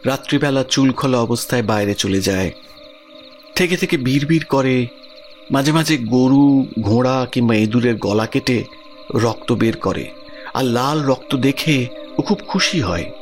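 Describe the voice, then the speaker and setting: An actor playing a worried village man in an audio drama, earnest and expressive as he talks. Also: mid-range voice, measured pace, long pauses, soft background music, lightly acted. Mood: troubled, earnest. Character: worried village man recounting his cousin's frightening affliction.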